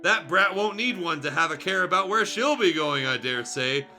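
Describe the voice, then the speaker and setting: A man plays a smirking, spiteful lady nurse dinosaur in a story reading. The voice is bright and animated, with a mocking edge. Faint music runs behind. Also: high-pitched, moderate pace, heavily acted.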